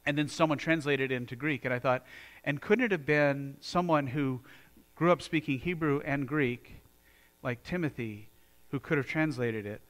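A man's voice: medium pitch, normal speed, fairly bright tone. A man from the United States preaching a sermon in a church, earnest and conversational, often restarting his sentences. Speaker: pastor.

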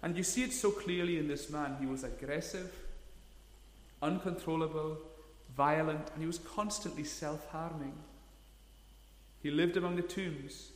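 A man's voice: fairly high pitch, measured pace. A man, preaching a sermon in an earnest, emphatic way, leaning hard on key words. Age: 30s. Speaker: pastor. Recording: echoey hall, long reverb.

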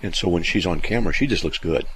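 A man's voice: low voice, quick pace, a bit bright, a little hiss or hum, poor audio. A middle-aged man hosting a call-in radio show, telling stories in a folksy, self-deprecating way. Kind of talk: casual call-in radio chat.

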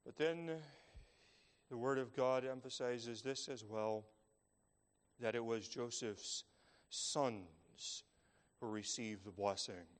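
Guy preaching real slow, lots of pauses, big echoey hall sound. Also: medium pitch. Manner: slow, measured phrasing with long dramatic pauses. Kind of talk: sermon. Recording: long reverb of a large hall.

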